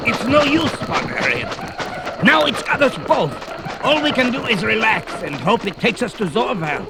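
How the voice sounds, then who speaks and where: An actor playing an aged, wry and stubborn wizard in a theatrical 1970s audio drama style. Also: male voice, extremely high pitch, very animated, moderate pace, creaky, very noisy, heavily acted. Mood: excited. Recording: loud music under the voice.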